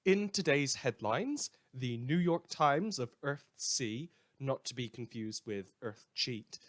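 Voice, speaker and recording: A man's voice: medium pitch, a bit bright, lightly acted. A man playing a sardonic radio newsreader in a post-apocalyptic world, reading scripted satirical news with dry, rambling asides. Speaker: radio host.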